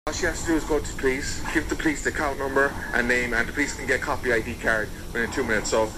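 A man records voice messages on his phone, insistent and defensive, calling the listener "mate". Traffic noise hisses clearly behind him. His voice sits at an ordinary middle pitch for a man. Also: fairly even intonation, very fast. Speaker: seller in voice messages.

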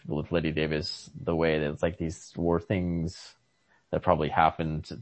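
Low-voiced man thinking out loud. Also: no echo. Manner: halting, searching for words. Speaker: podcast host. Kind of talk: casual literary discussion. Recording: lo-fi home recording.

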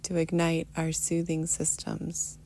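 A woman leading a guided meditation in a soothing, unhurried manner, often trailing into a breathy near-whisper. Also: deep voice, monotone, warm tone, a little hiss or hum. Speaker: guided meditation teacher, podcast host. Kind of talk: guided meditation, soft instruction.

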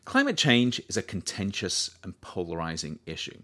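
A man narrates his own book in a low voice that rides up and down with animated intonation. His delivery is measured and deliberate, lifting sharply on the words he wants to stress. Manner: measured, lively intonation with emphatic rises. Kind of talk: audiobook narration.

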